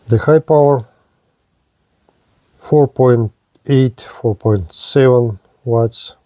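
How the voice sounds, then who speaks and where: Slow-talking, mellow-voiced man. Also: medium pitch, slight background noise. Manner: halting, short phrases. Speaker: product reviewer. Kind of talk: hands-on radio gear demonstration.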